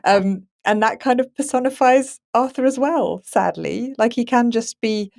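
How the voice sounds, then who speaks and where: A woman's voice: on the high side, unhurried, gravelly, darkish tone. A woman chatting informally on a podcast, phrasing her thoughts loosely and conversationally. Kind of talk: casual discussion.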